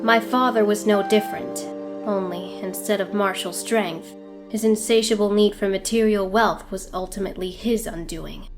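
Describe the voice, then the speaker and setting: A woman playing a cold, imperious young ninja clan leader in a motion comic, speaking in a haughty, measured, formal way. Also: mid-range voice, steady tone, music in the background, natural voice. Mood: cold contempt. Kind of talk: motion comic villain monologue.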